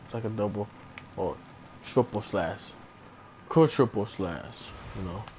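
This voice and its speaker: A man's voice: on the low side, measured pace, dark tone, slight background noise. A man streaming a game live, casually commentating and muttering to himself as he plays.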